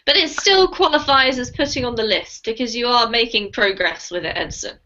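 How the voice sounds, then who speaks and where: A woman's voice: fairly high pitch, expressive, a little fast, bright, forceful tone, muffled recording. A woman teaching an online English class, chatty and encouraging with her students over a video call. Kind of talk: live online group class. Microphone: computer mic on a video call.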